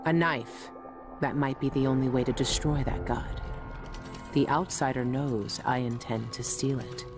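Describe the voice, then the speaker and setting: Game casting: ex-assassin woman, serious and terse, low voice, brooding delivery over a musical score. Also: fairly even intonation, a little slow. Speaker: protagonist and narrator. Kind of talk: brooding scripted game narration and dialogue. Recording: music under the voice.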